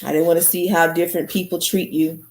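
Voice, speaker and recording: A woman's voice: lowish voice, little pitch movement, slight background noise, pitched below the performer's own voice, natural voice. A woman reading a remorseful young man. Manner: earnest, halting confession. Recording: roomy echo.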